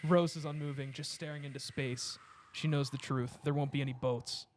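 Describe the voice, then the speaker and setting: A man's voice: medium pitch, steady tone, normal speed. A man hosting and narrating a comedy table read, moving between casual directions and scripted narration. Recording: background noise, occasional clicks.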